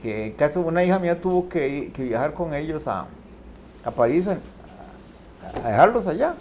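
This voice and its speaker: An older man talks in a hesitant, halting way, full of fillers and restarts. His voice is fairly high and swings widely in pitch. A faint hiss sits under the recording. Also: big pitch swings, a little mellow. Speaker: panelist. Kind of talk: casual chat.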